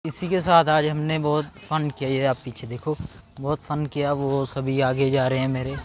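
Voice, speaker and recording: A man's voice: mid-range voice, little pitch movement, normal speed. A man, chatting casually and chummily to viewers as he vlogs outdoors on a handheld camera. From Punjab, India. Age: 20s. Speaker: vlogger. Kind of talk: casual vlog chat to camera. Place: outdoors in an open school ground and park. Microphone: handheld camera at arm's length.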